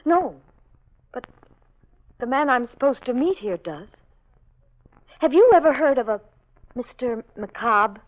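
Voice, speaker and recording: A woman's voice: high voice, expressive, takes it slowly, pauses often, full character voice. An actress playing an anxious, persistent young woman with an earnest, questioning manner in a 1940s radio mystery. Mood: uneasy, searching. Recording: muffled old radio recording.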